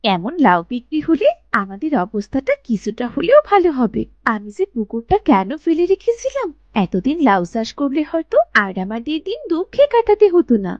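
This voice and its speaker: A woman voicing a caring, fussing elder sister, a poor young village woman in an animated moral story. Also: high voice, normal speed, hardly any pauses, no echo, dull audio. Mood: hopeful. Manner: expressive, fussing, motherly.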